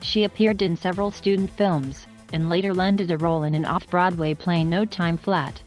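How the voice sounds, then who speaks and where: A woman reading a scripted biography narration in an even, flat manner. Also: lowish voice, normal speed. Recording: faint music underneath.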